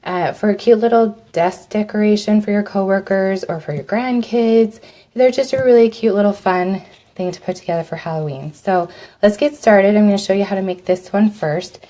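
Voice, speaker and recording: A woman with a cold and a slightly raspy voice, chatting through a live step-by-step craft demo, her voice dropping off as she leans away from the mic to work. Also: mid-range voice, normal speed, warm tone. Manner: chatty, step-by-step instructions with fillers. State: hoarse from a cold. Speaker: craft host.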